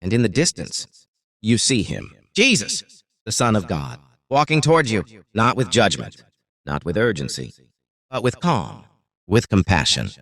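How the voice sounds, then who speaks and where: Man, guided meditation narrator, soothing, deliberate, short paused phrases, highly varied intonation. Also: sing-song, a bit bright, no room sound, clean studio sound.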